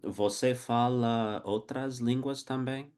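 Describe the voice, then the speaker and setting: A man acting as host and teacher, asking slow, simple questions in a casual oral interview. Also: mid-range voice, fairly even intonation, a little mellow, smooth.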